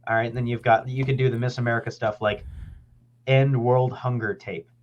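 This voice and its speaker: A man's voice: mid-range voice, fairly quick, a little hiss or hum. A man co-hosting a podcast in casual chat, joking and swearing. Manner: animated, joking, profane. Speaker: podcast co-host. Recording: dull lo-fi sound.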